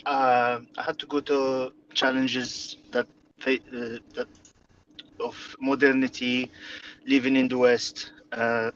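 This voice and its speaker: A man's voice: mid-range voice, flat delivery, slow pace, fairly bright tone, highs cut off, slight background noise. A man from Morocco whose first language is Arabic, speaking hesitantly with many fillers and restarts as an interview guest. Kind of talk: conversational interview.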